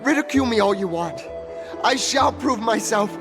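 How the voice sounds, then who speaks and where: An actor playing a fervent, grandiose explorer in a video game, declaiming theatrically. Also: male voice, sits high, expressive, normal speed, over music. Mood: fervent, defiant. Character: fervent, grandiose male explorer bent on proving himself.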